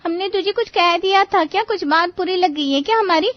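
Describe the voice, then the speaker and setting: An outspoken young woman, as a storyteller plays her scolds in a raised, shrill voice. She is horrified and dramatic, a comic tale figure on a dry, slightly muffled track. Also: a little fast, no echo. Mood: horrified, scolding.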